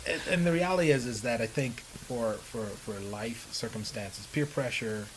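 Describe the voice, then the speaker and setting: A man's voice: medium pitch, normal speed, a crowd in the background, rough recording. A middle-aged man from the Bahamas answering as an interview guest, emphatic and often checking in with a short question. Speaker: podcast guest, personal development speaker. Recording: steady background hiss.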